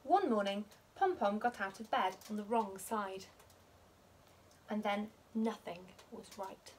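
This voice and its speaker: A young woman reading a children's picture book aloud like a teacher, expressive and measured. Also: mid-range voice, slight background noise. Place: living room by a fireplace.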